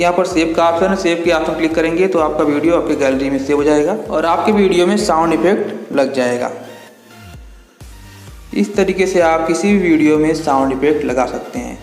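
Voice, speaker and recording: Male tutorial host, slightly high voice, methodical step-by-step instruction, very roomy echo. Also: a little fast, darkish tone. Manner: instructional, repetitive step-by-step phrasing. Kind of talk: step-by-step app tutorial narration. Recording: echoey reverberant voice-over with music.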